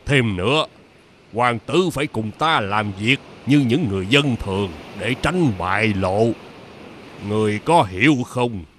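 A man playing a kindly old mandarin in an animated fairy tale, gentle and deferential. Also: on the low side, a little slow, slight background noise. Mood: earnest, gentle. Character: kindly old court mandarin posing as a grandfather. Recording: background music under the voice.

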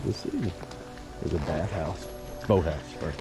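A man's voice: extremely low pitch, normal speed, music in the background. A man in his forties chatting casually for a travel vlog, filming himself outdoors at a lakeside lighthouse with a handheld camera. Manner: easygoing, chuckling, lively. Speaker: travel vlogger. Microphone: handheld camera at arm's length.

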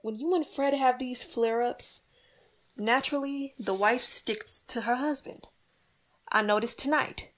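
A woman's voice: a little high, a bit bright. A woman playing an earnest, peacemaking housewife and mother in an old-time radio comedy, folksy, plaintive and coaxing.

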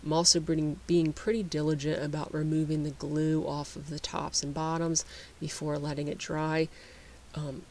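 A man narrating a woodworking how-to video in a relaxed, explanatory way, often restarting his sentences. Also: fairly high pitch, creaky, a little hiss or hum. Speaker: woodworking channel host.

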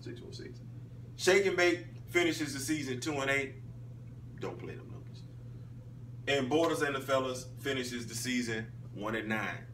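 A man in his thirties hosting a casual flag football league recap, talking emphatically and swinging between loud call-outs and low asides. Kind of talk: casual sports recap monologue. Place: small indoor room, in front of a wall poster. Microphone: phone camera at arm's length. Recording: phone audio, a bit distant, faint hum.

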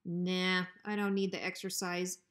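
A woman's voice: fairly low pitch, level tone, unhurried, fairly bright tone. A woman plays a big, sloppy bully of a Rottweiler in a storybook. He taunts in folksy slang and mocks with menace. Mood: mock innocent. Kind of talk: children's storybook reading.

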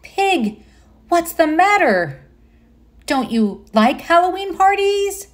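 A woman's voice: extremely high pitch, sing-song, slow pace, voice pushed up, full character voice. A woman voicing a sweet young boy mouse from a storybook, eager and exclamatory. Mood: concerned.

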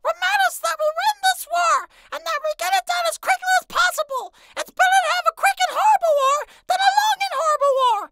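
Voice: extremely high pitch, quick pace, vocal fry, few pauses, very noisy. A performer voicing a little girl pony in a parody cartoon, sweet but bossy. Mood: bossy, scolding.

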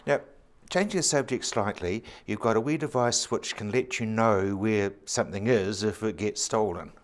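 A man's voice: lowish voice, normal speed. A man, an interviewer asking short, prompting questions.

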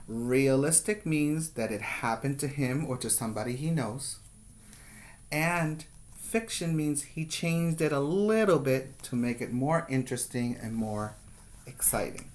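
Male preschool teacher, forties, slow pace, expressive storybook read-aloud, deliberate phrasing. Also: medium pitch. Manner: deliberate, expressive, checks in with listeners. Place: small plain room indoors. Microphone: webcam at arm's length.

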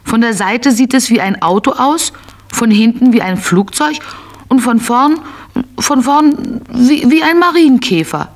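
A woman narrating a scripted children's story as an expressive storyteller, in simple phrases. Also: fairly high pitch, measured pace.

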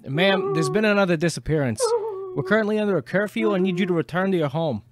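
An actress playing a dutiful, urgent police officer in an audio play. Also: female voice, fairly low pitch, big pitch swings, fast talker. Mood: wary, urgent. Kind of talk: audio drama acting.

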